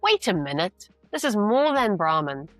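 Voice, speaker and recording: A woman teacher reading a novel aloud with deliberate, emphatic phrasing and brief explanations. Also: medium pitch, sing-song, no room sound, faint music behind.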